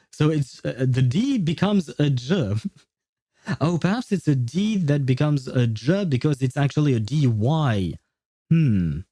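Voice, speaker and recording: A man's voice: mid-range voice, warm tone, no echo, studio quality. A man streamer chatting casually with viewers in a rambling, hesitant way. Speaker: livestreamer. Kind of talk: casual livestream chat, replying to viewers.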